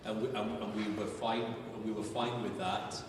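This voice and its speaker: A man's voice: fairly low pitch, fairly even intonation, normal speed, recorded from a distance, background noise. Man in an echoey hall. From Wales. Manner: thoughtful, searching for words. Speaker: filmmaker answering audience questions. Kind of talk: live Q&A answers.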